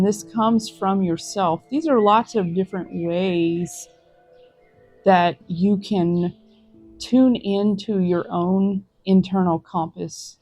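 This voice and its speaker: A woman reads tarot cards for her viewers in an unhurried, mellow voice that sits a little low. She sounds gentle and encouraging, pausing to reflect between thoughts. Faint music plays behind her. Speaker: tarot reader. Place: outdoors in a forest.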